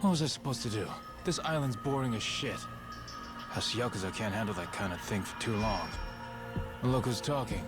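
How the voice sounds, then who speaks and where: An actor playing a hot-tempered, foul-mouthed yakuza boss in a video game, curt and sneering. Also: male voice, on the low side, a little fast, music in the background, close to an everyday voice. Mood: defensive. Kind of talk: video game cutscene acting.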